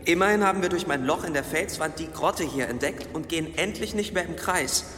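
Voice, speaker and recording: Radio play companion: sincere, a little defensive, heard in a very echoey space. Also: male voice, on the high side, fairly quick, sharp tone, faint music behind. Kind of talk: radio drama acting. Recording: echoing cavernous hall, faint noise.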